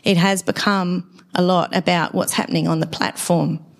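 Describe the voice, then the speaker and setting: A middle-aged woman with a dry, hoarse voice after laryngitis, giving a conversational sermon at a church service and asking the congregation questions. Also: lowish voice, steady tone, moderate pace. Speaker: preacher.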